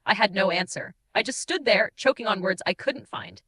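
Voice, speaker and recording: A woman's voice: mid-range voice, bright, forceful tone. A woman voicing a guilt-ridden, tender shapeshifting changeling who confesses in mournful, dramatic first-person audiobook narration. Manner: emotive, mournful storytelling with dramatic pauses.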